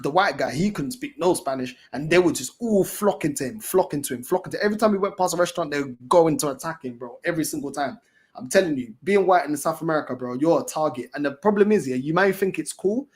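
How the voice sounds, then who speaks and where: Husky London man, chatting casually. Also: fairly high pitch. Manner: slangy, repetitive, emphatic. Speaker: fan channel host. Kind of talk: casual livestream chat.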